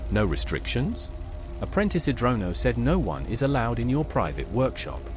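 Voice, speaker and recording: A man's voice: mid-range voice, sing-song, normal speed, lightly acted. An inquisitive game adventurer voiced by a man, direct in manner, with a mid-range voice and hugely varied intonation. Faint music plays behind. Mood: inquiring. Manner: direct, questioning. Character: inquisitive male adventurer questioning townsfolk. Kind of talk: video game quest dialogue.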